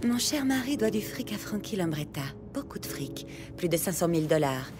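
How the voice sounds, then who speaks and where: A woman's voice: lowish voice, sing-song, normal speed. A woman playing a sultry, scheming gangster's wife in a French audio crime drama, teasing and conspiratorial. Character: seductive, scheming, foul-mouthed gangster's wife. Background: music.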